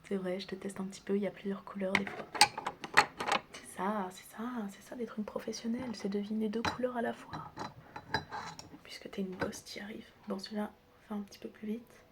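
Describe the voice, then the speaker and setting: A woman's voice: mid-range voice, fairly even intonation, fairly quick. Whispering woman, teasing ASMR, noisy background. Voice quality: breathy whisper mixed with soft speech. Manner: teasing, chatty, familiar, addressing the listener directly. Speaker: ASMR creator role-playing as a doctor and best friend. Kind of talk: whispered ASMR roleplay, close personal attention. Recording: clear background noise with clicking sounds.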